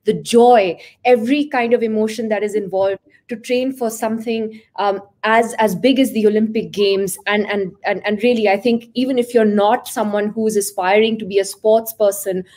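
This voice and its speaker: A woman journalist in her forties hosts an interview over a video call. She speaks fluently and with emphasis, with a few small repeated starts, her pitch in the middle range. The sound comes from a laptop mic in a room at home. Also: fairly even intonation, normal speed, few pauses, a little mellow. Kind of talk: warm interview conversation. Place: room at home with curtains behind.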